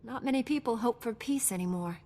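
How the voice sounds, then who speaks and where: A woman playing a calm, resolute young knight in a video game, composed, courteous and determined. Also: on the high side, fairly quick. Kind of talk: cinematic video game hero acting. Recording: long hall reverb, music behind.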